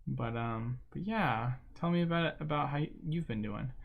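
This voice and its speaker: A man's voice: medium pitch, moderate pace, gravelly, phone-quality sound. A young man hosting a livestream, chatting casually and rambling with lots of fillers and slang while he plays a game. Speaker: livestream host. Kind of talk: casual chat while gaming.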